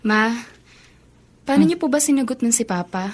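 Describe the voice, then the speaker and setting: High, girlish Filipino student daughter. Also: expressive, normal speed. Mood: shy, curious. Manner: polite, girlish, hesitant. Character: shy, lovestruck young woman student, affectionate daughter. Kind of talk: Filipino radio drama acting.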